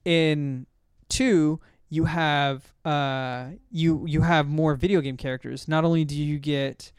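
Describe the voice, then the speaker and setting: A man with a fairly high, clear voice in a dry, close recording. He talks as a casual podcast host, rambling through his points and circling back to correct himself. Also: fairly even intonation, slow, dry recording. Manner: rambling, self-correcting, hesitant recall. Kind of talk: casual discussion of films.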